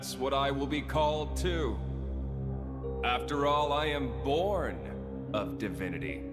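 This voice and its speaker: An actor playing an arrogant, brooding, haughty man who thinks himself divine, in a game cutscene over loud music. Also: medium pitch, animated, a little slow, close to an everyday voice. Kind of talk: video game cutscene acting.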